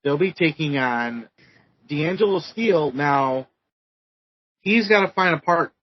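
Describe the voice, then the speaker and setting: Podcast co-host, slow rambling recap, slightly high voice, lo-fi sound. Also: male voice, animated, slight background noise. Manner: rambling recap with many ums. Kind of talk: casual chat.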